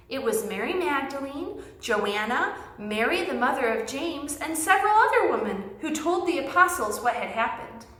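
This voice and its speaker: A woman with a high, bright voice tells a story to young children. Her delivery is very animated, with simple phrasing and emphatic words. The sound is roomy and echoey, as in a classroom. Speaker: children's Bible teacher and storyteller. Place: indoors in an empty classroom.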